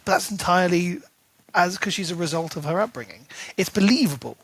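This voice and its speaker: A man with a somewhat higher voice co-hosts a casual book-discussion podcast. He talks in long, animated run-on stretches and leans on fillers as he works through his thoughts. His delivery is loose and conversational rather than scripted. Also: fairly quick, husky, poor audio. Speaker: podcast co-host.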